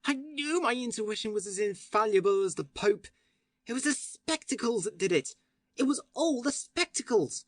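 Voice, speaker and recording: A woman voicing an impassioned young male detective poet in an audiobook, excitable and declamatory. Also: a little high, normal speed, no room sound. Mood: ecstatic. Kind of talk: audiobook character voice.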